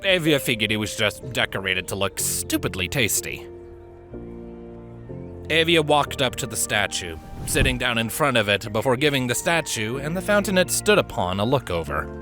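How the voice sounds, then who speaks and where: A man reading a story aloud as narrator, in an expressive scripted style. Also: medium pitch, moderate pace, music in the background. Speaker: narrator, fan fiction reader.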